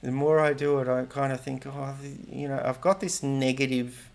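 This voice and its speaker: A man's voice: medium pitch, steady tone, normal speed, gravelly, noisy background. A middle-aged man talking casually in a vlog, rambling and hesitant, often restarting and correcting himself. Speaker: language learning vlogger.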